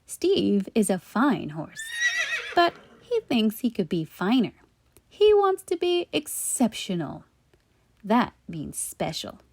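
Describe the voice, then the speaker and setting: A woman reading a storybook aloud for children in an expressive way, with playful asides. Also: medium pitch, slow, gravelly, no room sound. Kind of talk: animated storybook read-aloud.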